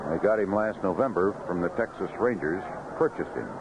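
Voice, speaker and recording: A man's voice: sits low, expressive, brisk, background noise. A man calling live baseball play-by-play as a radio announcer on a vintage 1970s broadcast. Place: outdoor ballpark broadcast booth.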